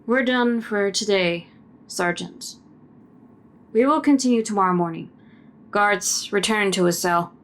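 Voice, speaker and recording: A woman's voice: medium pitch, a little slow, long pauses, close to an everyday voice. Woman playing a stern military interrogator. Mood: curt, stern. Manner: curt, authoritative. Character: stern, skeptical female military intelligence interrogator. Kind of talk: sci-fi audio drama acting.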